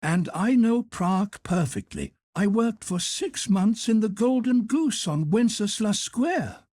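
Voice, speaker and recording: A man's voice: sits high, expressive, a little slow, a little mellow, no room sound, clean studio sound, pitched above the performer's own voice. A man doing an effusive fifty-year-old head cook. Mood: delighted. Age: 50s. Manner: effusive, pressing, motherly.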